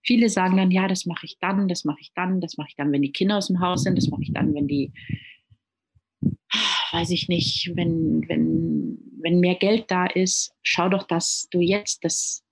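A woman's voice: lowish voice, measured pace, gravelly, husky, low-quality recording. A woman from Germany, a native speaker of German and Italian, talking earnestly as an interview guest, often repeating words while she searches for the next one. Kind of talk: casual conversational interview.

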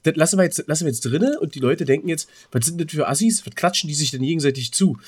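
A man's voice: fairly high pitch, quick pace, husky. A man co-hosting a podcast in casual, joking banter with informal, colloquial speech. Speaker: podcast co-host.

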